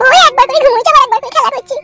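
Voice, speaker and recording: Shrill village wife, super fast cartoon chatter, really noisy recording. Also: female voice. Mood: chatty. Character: shrill, quarrelsome young village wife and mother. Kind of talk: adult comedy cartoon dialogue. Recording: loud noise, clicky amateur cartoon dub.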